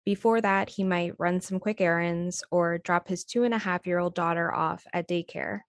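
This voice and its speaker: Lowish female podcast narrator, dry studio sound. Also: fairly even intonation, moderate pace, no room sound. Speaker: podcast co-host.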